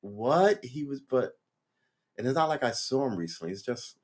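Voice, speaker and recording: A man in his fifties in a rambling, reflective monologue, full of restarts and "you know", and his pitch jumps when he gets worked up. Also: moderate pace. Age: 50s. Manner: lively pitch swings when animated. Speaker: vlogger. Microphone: livestream setup.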